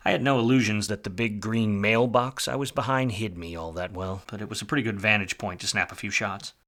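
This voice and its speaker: An actor playing a hard-drinking, wisecracking, jaded 1940s private detective in a film noir radio play, with sardonic, slangy delivery. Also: male voice, on the low side, steady tone, brisk, a bit bright, natural voice. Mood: wry, brooding narration.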